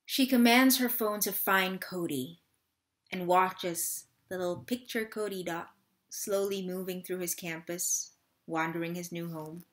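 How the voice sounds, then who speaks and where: A woman's voice: fairly low pitch, measured pace, a bit bright. Woman acting a wistful, restless mother. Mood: regretful. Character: wistful, restless mother missing her grown son. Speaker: actor in a staged reading. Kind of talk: dramatic third-person monologue reading. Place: small room at home.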